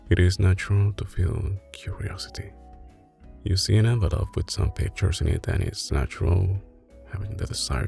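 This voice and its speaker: Man acting as Italian-accented mob boss boyfriend, low gravelly voice, soft ASMR delivery, controlled, dangerous but doting, music behind. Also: heavily acted. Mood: calm, probing. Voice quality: deep, creaky. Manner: slow, deliberate, controlled, intimate. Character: soft-spoken, menacing, doting male mafia boss boyfriend. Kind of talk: ASMR roleplay, soft spoken with whispering.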